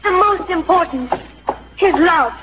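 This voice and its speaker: An actress playing a devoted, lovestruck young daughter in a radio drama, earnest and pleading. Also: female voice, very high-pitched, lively intonation, unhurried. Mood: tender, earnest. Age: young adult. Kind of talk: 1950s radio drama acting. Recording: muffled, noisy old radio recording.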